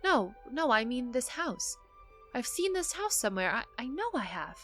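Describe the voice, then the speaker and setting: A woman playing a caring, earnest mother in a radio drama, with expressive delivery. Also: fairly high pitch, moderate pace, soft background music. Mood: puzzled, alarmed. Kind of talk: radio play acting.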